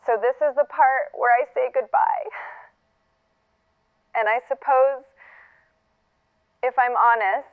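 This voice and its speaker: An actress playing a wry, tender middle-aged woman leaving a farewell message, reflective and halting, through a narrow playback filter. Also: high-pitched. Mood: tender, proud. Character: wry, tender older woman saying a last goodbye. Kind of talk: recorded farewell message in audio fiction. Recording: thin, phone-like recorded playback.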